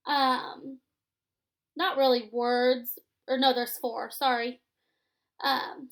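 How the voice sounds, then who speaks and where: A woman's voice: sits high, a bit bright. A woman teaching young children in a read-aloud lesson, giving simple instructions and checking in as she goes. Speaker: elementary school teacher.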